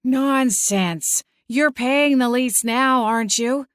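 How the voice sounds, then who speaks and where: A woman voicing a pushy, demanding, eccentric older aunt in an audiobook, brisk and haughty. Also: high voice, clean tone, dry recording, clean studio sound, voice pushed up. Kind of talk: audiobook character acting.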